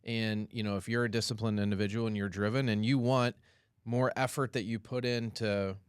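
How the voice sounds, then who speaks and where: Brief: male podcast co-host, fast talker, lowish voice, animated and joking. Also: clean tone, no room sound, clean studio sound. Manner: animated, quick, lightly joking. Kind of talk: casual podcast conversation.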